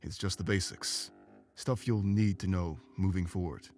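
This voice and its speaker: Ancient male beast companion, low voice, weary and remorseful, earnest game drama, music underneath. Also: fairly even intonation, moderate pace, darkish tone. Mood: grateful, earnest. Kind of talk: visual novel game voice acting.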